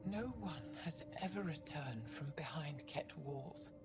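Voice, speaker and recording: A woman's voice: deep voice, expressive, measured pace, music in the background. An actress playing a proud, embittered alien woman freed from captivity in a video game, grave and intense. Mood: wary, weary. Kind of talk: video game cutscene acting.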